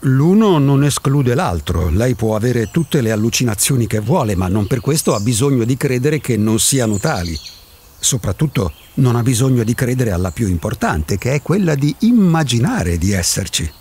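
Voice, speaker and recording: Radio drama male actor as an eccentric recluse: provocative, impatient philosopher, husky voice, very animated delivery. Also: mid-range voice, normal speed. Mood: impatient, lecturing. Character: eccentric solitary man insisting he does not exist. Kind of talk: Italian radio drama acting.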